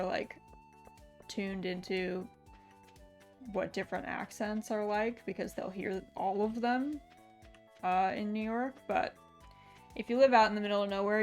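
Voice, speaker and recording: Woman, gaming streamer, casual and rambling, light gravel in her voice. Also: mid-range voice. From United States. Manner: chatty, answers viewer chat aloud with many fillers. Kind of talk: casual chat while gaming.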